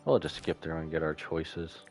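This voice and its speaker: A man's voice: very deep voice, steady tone, normal speed, a little mellow. A man casually commentating on a livestream as a game streamer, rambling and loosely thinking aloud. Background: music.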